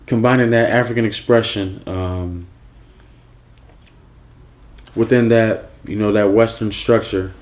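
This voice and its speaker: A man born in Nigeria speaks with a very low voice, recalling how his clothing brand came about. He talks in a loose, reflective way, pausing on fillers and restarting his sentences as he thinks. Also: darkish tone. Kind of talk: personal story monologue.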